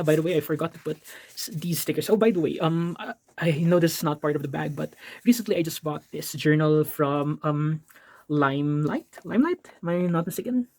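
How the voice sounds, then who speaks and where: A man's voice: fairly high pitch, moderate pace, gravelly, darkish tone. A man with a Filipino accent, from the Philippines, chatting casually in an art supplies vlog. He rambles, fills his talk with ums, and corrects himself. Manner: rambling, filler-heavy, self-correcting, apologetic asides. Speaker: art vlogger.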